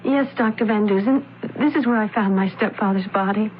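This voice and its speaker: An actress playing a composed young daughter, matter-of-fact and measured, in a radio mystery drama. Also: female voice, mid-range voice, close to an everyday voice. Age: young adult. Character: young adopted daughter, composed, recounting a death. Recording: noisy, tinny old radio broadcast.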